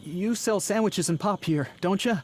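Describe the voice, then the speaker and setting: A man's voice: high-pitched, moderate pace, lightly acted. An actor playing a haunted, increasingly frantic driver in a radio play, brooding as he narrates and stammering when agitated. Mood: pleading, agitated. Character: haunted, increasingly frantic man driver recounting his cross-country journey. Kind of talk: dramatic first-person radio play narration and acting. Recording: loud background noise with vehicle ambience.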